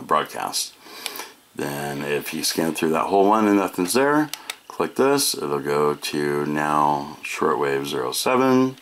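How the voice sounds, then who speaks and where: A man's voice: a bass voice, fairly bright tone, slight background noise. An American man giving a casual product demonstration, explaining each feature in a matter-of-fact way. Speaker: product reviewer.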